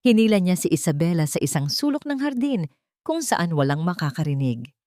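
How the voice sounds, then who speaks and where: A woman's voice: fairly low pitch, very animated, a little fast, a little mellow, no room sound. A woman reading a scripted story as an audiobook narrator, in a dramatic storytelling style.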